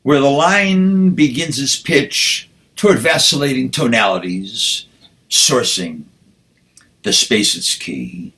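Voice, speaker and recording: A man, a poet, speaking and reciting with deliberate, measured phrasing. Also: mid-range voice, animated, sharp tone. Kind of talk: spoken introduction and poetry recitation.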